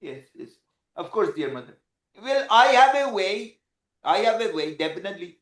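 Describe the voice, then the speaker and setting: A man's voice: high voice, big pitch swings, normal speed, fairly bright tone. A man with an Indian accent playing a grown son who humors his vain mother, hesitant and stammering. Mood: dutiful. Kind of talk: improvised comedy scene. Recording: roomy echo.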